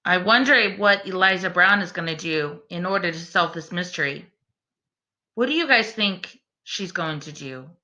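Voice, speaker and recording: A woman teacher reading a picture book aloud to children expressively and deliberately, pausing to put questions directly to her young listeners. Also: fairly low pitch, expressive, sharp tone.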